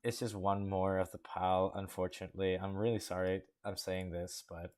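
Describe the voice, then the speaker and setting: A man's voice: sits low, flat delivery, fairly quick, a little mellow, clean tone. A young man hosting a casual contest review, rambling through frank critique with many fillers. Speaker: host judging a coaster-building contest. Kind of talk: casual commentary over gameplay.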